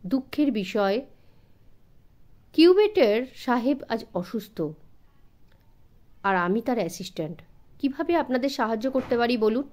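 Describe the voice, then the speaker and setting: A man's voice: very high voice, animated, a little slow, pauses often, a little mellow. A woman voicing a courteous, helpful male museum assistant who explains things politely and mixes in English phrases. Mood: apologetic, helpful.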